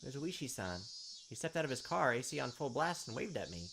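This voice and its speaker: A man's voice: mid-range voice, sing-song, a little fast, vocal fry, noisy background. A man hosting a let's play, reading visual novel narration aloud with casual commentary. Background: game music.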